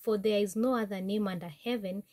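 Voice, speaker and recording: A young woman with a Kenyan accent giving an informal Bible lesson to camera, chatty and halting, often correcting herself. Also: mid-range voice, steady tone, darkish tone. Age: young adult. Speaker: Bible teaching vlogger. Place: small wood-panelled room indoors.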